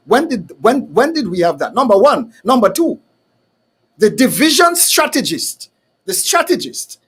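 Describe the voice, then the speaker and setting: Man, talk show host, high voice, fiery, emphatic, rhetorical questions. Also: a bit bright, husky. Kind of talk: heated call-in style talk.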